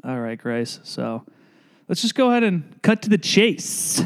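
A man's voice: fairly high pitch, big pitch swings, a little slow, clean tone. A man hosting a casual podcast chat, teasing his guest with joking banter into an inexpensive podcast microphone. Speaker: podcast host.

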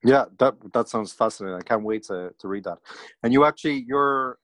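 A man's voice: medium pitch, fairly quick, fairly bright tone, dry recording. A man hosting an interview in a casual, hesitant way, with many fillers and restarts. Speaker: podcast host.

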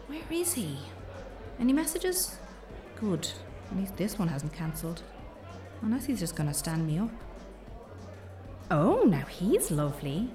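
A woman acting in an audio comedy drama as the mischievous, mouthy inner voice of a young woman weary of dating, sardonic, exasperated and quick to swear. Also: lowish voice, big pitch swings, roomy sound, distant mic, over music. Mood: sardonic, searching.

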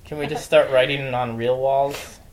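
A man's voice: mid-range voice, fairly even intonation, normal speed, a little hiss or hum, low-quality recording. A man of thirty talks in a loose, conversational way. He co-hosts a casual chat with a light joking streak. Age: 30s. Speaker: podcast co-host.

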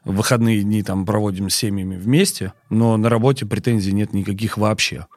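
A man speaking as a chef and guest on a podcast, in a measured, firm, matter-of-fact manner. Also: fairly low pitch, steady tone, darkish tone, no room sound, studio quality. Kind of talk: podcast interview talk. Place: podcast studio.

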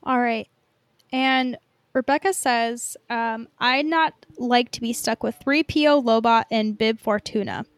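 High-pitched woman, chatty podcast co-host. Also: a little slow, dry recording. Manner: informal, peppered with "like". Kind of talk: casual fan podcast chat.

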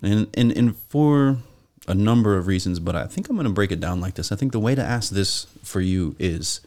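A man's voice: fairly low pitch, lively intonation, normal speed, darkish tone. A man co-hosting a podcast, setting up the question in a casual, agreeable way. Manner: brief agreeable interjections, steers the questions. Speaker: podcast co-host.

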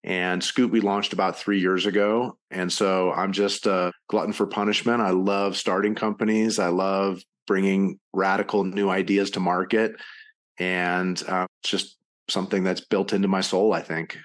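A middle-aged man from Ohio, speaking as an entrepreneur guest on a podcast in a measured, confident way. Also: deep voice, flat delivery. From United States (Ohio). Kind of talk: casual interview chat.

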